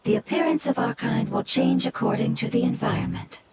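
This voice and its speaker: A woman voicing a gentle, thoughtful ancient plant spirit in an anime game, speaking in measured, earnest, formal phrases. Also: high voice, heavy background noise, lightly acted. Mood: calm, explanatory. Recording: loud music behind the voice.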